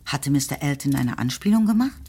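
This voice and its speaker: A woman narrating a radio play in a measured, scripted manner. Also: very deep voice, expressive, breathy edge. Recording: loud music behind the voice.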